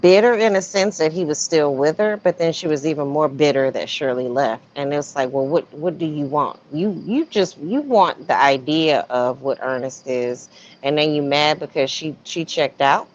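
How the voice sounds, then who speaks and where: A woman's voice: sits low, moderate pace. A woman gossiping casually on a livestream in a sassy, colloquial way, with plenty of rhetorical questions. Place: remote online livestream. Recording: dull lo-fi livestream audio.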